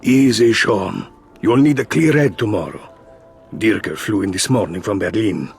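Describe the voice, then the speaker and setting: A man's voice: fairly low pitch, moderate pace, creaky, husky, faint music behind, heavily acted. An actor playing a commanding, fatherly racing team boss in a video game. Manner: authoritative. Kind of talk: dramatic video game acting.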